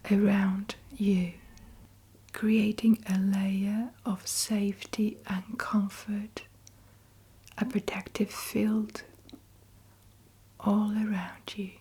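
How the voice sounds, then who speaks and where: A woman leading a guided meditation in a breathy whisper, in deliberate phrases with long pauses between them. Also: mid-range voice, a little mellow.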